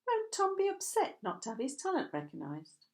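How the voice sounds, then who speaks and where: A woman's voice: extremely high pitch, wildly swooping pitch, normal speed, pitched above the performer's own voice, heavily acted. A woman voicing a curious, persuasive man who judges art, in a storybook reading. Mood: insistent. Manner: questioning, persuasive.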